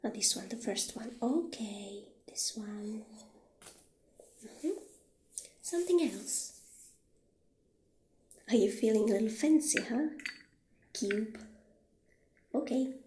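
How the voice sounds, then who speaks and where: Slow, soft-spoken woman in ASMR roleplay. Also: fairly high pitch, animated. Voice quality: soft, breathy, often near whispering. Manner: gentle, unhurried, halting. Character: friendly witch shopkeeper showing potion ingredients. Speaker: ASMR performer playing a witch shopkeeper. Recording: roomy echo, faint background noise.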